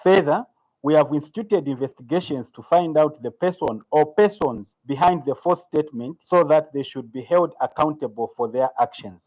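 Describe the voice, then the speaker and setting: A man's voice: on the high side, normal speed, a little mellow. A man with a Zambian accent reading out an official statement as a police spokesperson, in dull lo-fi audio.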